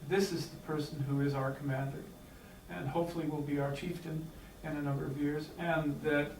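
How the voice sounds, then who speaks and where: A fairly high-pitched man talks haltingly from the floor of a meeting, with plenty of fillers and restarts. He stands well away from the microphone. The room is echoey, and a faint hiss runs underneath. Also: flat delivery, darkish tone. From United States. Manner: hesitant, many fillers and restarts. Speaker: clan member speaking from the floor. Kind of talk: unscripted remarks at a meeting. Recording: echoey hall, distant mic, faint hiss.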